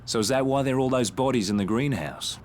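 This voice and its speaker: An actor playing a blunt, impatient, distrustful survivor in a video game, terse and sardonic. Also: male voice, medium pitch, quick pace, darkish tone, slight background noise, natural voice. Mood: wary impatience. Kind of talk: dramatic video game cutscene acting.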